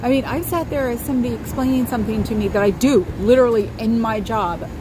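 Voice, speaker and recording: A woman with a high voice chatting casually to her viewers, wry and quick to laugh. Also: noisy background. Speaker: livestream host. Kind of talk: casual chat reacting to viewer comments.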